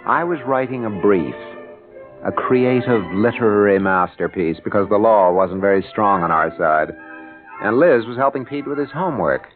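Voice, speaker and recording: A man's voice: lowish voice, moderate pace, natural voice. An actor playing an exasperated, articulate lawyer father in an old-time radio comedy-drama, with a wry, theatrical way of talking. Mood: wry narration. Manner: articulate, opinionated, wryly grandiose. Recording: muffled vintage radio recording with music.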